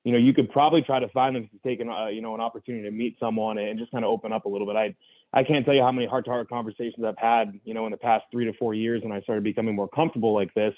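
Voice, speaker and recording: Young adult man, guest. Earnest, quick, restart-heavy storyteller on a dry, tinny phone-like line. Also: fairly low pitch, steady tone, dry recording. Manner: earnest, reflective, full of restarts and "you know". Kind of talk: candid personal story in conversation.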